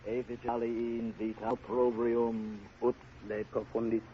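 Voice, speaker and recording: An actor playing a priest in a radio drama, solemnly droning the last rites. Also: male voice, mid-range voice, level tone, unhurried, close to an everyday voice. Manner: droning, solemn Latin recitation. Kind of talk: golden age radio drama acting. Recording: muffled, noisy old radio broadcast.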